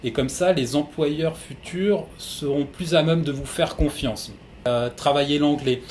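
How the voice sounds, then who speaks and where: A man's voice: a little high, a little slow, darkish tone, slight background noise. A man working in human resources, giving methodical, practical advice to newcomers in an interview.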